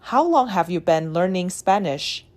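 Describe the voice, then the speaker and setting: A woman's voice: on the low side, lively intonation, measured pace, darkish tone. A woman asking short, plain practice questions in a beginner's speaking drill. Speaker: question prompter in a language drill. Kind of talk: scripted drill prompts.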